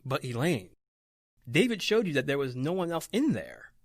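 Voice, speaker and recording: A man playing a reassuring but skeptical brother in an old-time radio horror play, calming and questioning. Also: fairly high pitch, normal speed, no echo, studio quality, full character voice. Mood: skeptical concern.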